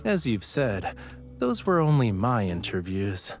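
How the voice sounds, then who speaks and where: Teasing, lively young boyfriend. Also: male voice, lowish voice, measured pace, soft background music. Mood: lightly teasing. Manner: teasing, playful, smug. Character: teasing, playful young man, affectionate artist boyfriend. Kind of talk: romantic game audio drama acting.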